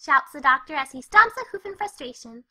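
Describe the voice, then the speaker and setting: A woman voicing a bubbly, excitable young female cartoon pony, perky and chatty as she narrates a storybook tale. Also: high-pitched, fairly quick, exaggerated voice. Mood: animated storytelling. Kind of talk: first-person storybook narration with character dialogue.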